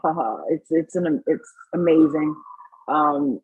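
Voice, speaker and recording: A woman's voice: lowish voice, wildly swooping pitch, takes it slowly, vocal fry, darkish tone, husky, no echo, highs cut off. A middle-aged woman from Saint Lucia with a New York accent and a hint of Caribbean, a basketball coach chatting in an interview, repeating words as she starts her sentences. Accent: New York with a light Caribbean lilt. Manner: repeats words when starting, laughs easily. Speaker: basketball coach and player's mother. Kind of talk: casual interview talk.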